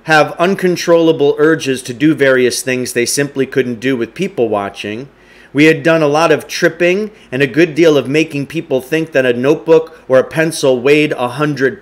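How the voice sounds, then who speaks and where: A middle-aged man reads a story aloud in a home living room. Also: mid-range voice. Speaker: teacher reading aloud. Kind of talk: audiobook-style story reading. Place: indoors, home living room with wood stove.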